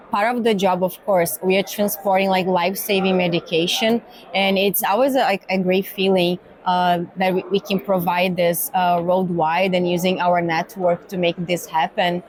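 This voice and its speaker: A woman's voice: on the low side, little pitch movement, measured pace, slight background noise. A woman from Brazil with a Brazilian Portuguese accent, answering interview questions as a cargo executive. She speaks thoughtfully, with many fillers and a habit of ending points with "right?". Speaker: guest, pharma cargo executive. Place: exhibition hall.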